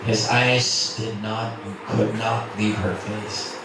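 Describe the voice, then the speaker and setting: A man's voice: lowish voice, steady tone, slow. Man narrating romance in an echoey room. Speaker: narrator, reader at a literary festival. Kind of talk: dramatic scripted narration of a romance novel. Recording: echoey, noisy room from far off.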